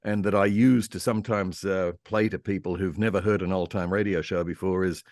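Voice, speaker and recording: An older man with an Australian accent, reminiscing casually and haltingly as an interview guest. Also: low voice, steady tone, a little mellow, no echo, studio quality. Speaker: interview guest, radio historian and voice actor.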